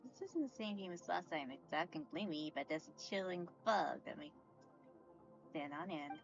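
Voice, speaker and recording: A woman's voice: fairly low pitch, expressive, moderate pace, gravelly, dull audio, soft background music. A woman casually commenting as she plays a game, musing aloud and reacting in short exclamations. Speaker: streamer playing a game.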